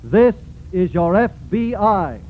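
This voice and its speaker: A man's voice: high voice, sing-song, slow pace. An American man making formal, declamatory announcements in a polished 1940s radio style. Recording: tinny 1940s radio broadcast, loud music.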